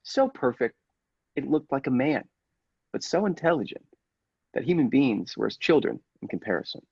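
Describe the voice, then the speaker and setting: A man playing a megalomaniac robot who passes for human, a coldly menacing villain speaking formally and deliberately in a classic radio play. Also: medium pitch, animated, creaky, a little mellow, slightly rough, no echo, highs cut off. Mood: gloating, menacing.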